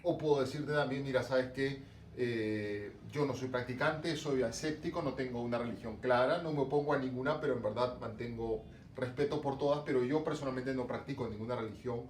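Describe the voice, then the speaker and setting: A man's voice: medium pitch, brisk, echoey room, far from the mic, slight background noise. A man from Lima with a Peruvian accent, giving a religion lesson with lots of rhetorical questions and fillers. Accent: Peruvian Spanish, Lima. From Peru, coast (Lima). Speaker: religion teacher. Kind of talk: online class lecture to students.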